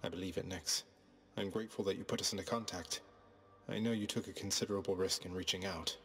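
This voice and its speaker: An actor playing a wry, rebellious young underworld prince in a video game, with a dry, understated delivery. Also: male voice, deep voice, steady tone, fast, a bit bright, husky, full character voice. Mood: earnest. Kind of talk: video game character dialogue.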